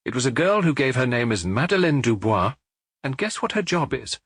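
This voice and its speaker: A man voicing an earnest, deferential provincial police inspector who explains things briskly in an audiobook. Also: mid-range voice, very animated, fairly bright tone, slightly rough, no room sound, clean studio sound, voice pushed up, exaggerated voice. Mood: businesslike.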